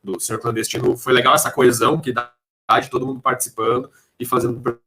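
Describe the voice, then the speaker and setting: A man's voice: mid-range voice, level tone, fast, bright, forceful tone. A man with a Brazilian accent making casual comments as an interviewer on a podcast.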